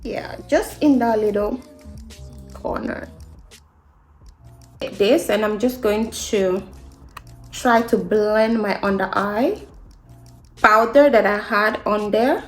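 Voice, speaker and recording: A woman's voice: mid-range voice, slow pace, pauses often, faint music behind. A woman in her late twenties from Guinea, a beauty vlogger chatting casually in an upbeat, emphatic way, repeating phrases to encourage her viewers. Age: late 20s. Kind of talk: casual get-ready-with-me chat.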